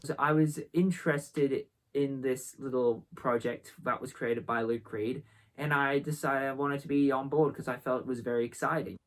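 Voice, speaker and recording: A man's voice: mid-range voice, fairly even intonation, moderate pace, low-quality recording. A man giving a casual interview as an amateur audio drama cast member.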